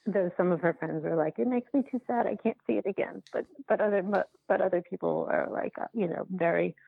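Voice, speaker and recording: A woman filmmaker answering interview questions in a halting, reflective way, often circling back to rephrase, over a phone-like line. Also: lowish voice, vocal fry, slightly rough, dry recording. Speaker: documentary filmmaker being interviewed.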